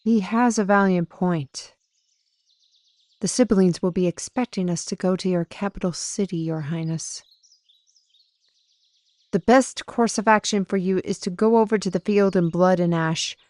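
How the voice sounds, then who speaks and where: A woman playing a spirited, caring adventurer in an audio drama, brisk, with salty folksy oaths. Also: on the low side, a little mellow, dry recording, clean studio sound, close to an everyday voice. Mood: resolute. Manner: colorful folksy oaths, addresses others as lad and lass. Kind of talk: audio roleplay drama acting.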